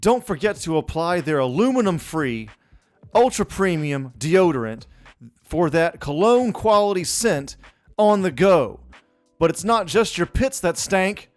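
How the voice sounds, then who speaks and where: A man's voice: high-pitched, animated, a little slow, faint music behind. A man co-hosting a podcast in a colloquial, laid-back manner. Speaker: podcast co-host. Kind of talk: casual banter and ad reads.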